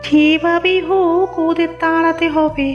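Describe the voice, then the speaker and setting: A scheming village woman voiced by an actress in a moral-story cartoon, with a very high, girlish pitch. She is resentful and insistent, and the sound is dull and lo-fi over faint music. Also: flat delivery, unhurried, long reverb tail.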